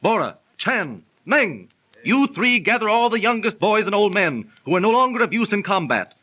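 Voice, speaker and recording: An actor in a 1930s radio drama playing a zealous young Tartar chieftain's son, declaiming in stately archaic diction. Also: male voice, high-pitched, sing-song, moderate pace, sharp tone, full character voice. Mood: triumphant, rousing. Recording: noisy old 1930s radio transcription recording.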